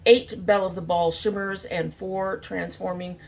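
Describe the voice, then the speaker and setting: A woman's voice: medium pitch, fairly even intonation, moderate pace, gravelly, a little mellow, rough recording. A woman talking informally to camera as a beauty vlogger, thinking aloud in a casual makeup review.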